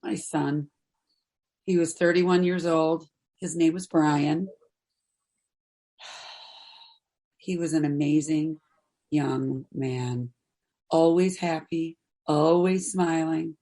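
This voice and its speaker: A gentle grieving mother, acted by a woman, talks very slowly in a fairly low voice. She is tender and reflective, as in a quiet stage drama. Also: steady tone, heavily acted. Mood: tender grief. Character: Kathy, gentle consoling mother grieving her adult son. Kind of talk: stage play reading.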